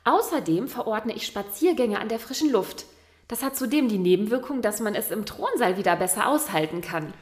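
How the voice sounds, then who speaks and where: Woman playing a lively, brisk herbalist maiden. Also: fairly high pitch, close to an everyday voice. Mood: brisk, instructing. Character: brisk, matter-of-fact young herbalist maiden. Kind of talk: fairy-tale audio play acting.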